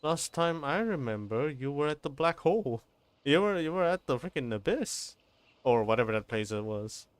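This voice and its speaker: A man's voice: a little high, animated, normal speed, smooth, no echo. A man live-streaming a game with casual, bantering commentary and free swearing. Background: game music.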